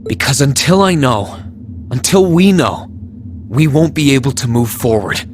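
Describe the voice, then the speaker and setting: A man's voice: medium pitch, animated, moderate pace, slight background noise, close to an everyday voice. An actor playing an earnest, brooding young male academy student in a video game, serious and insistent. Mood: earnest, pleading. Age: young adult.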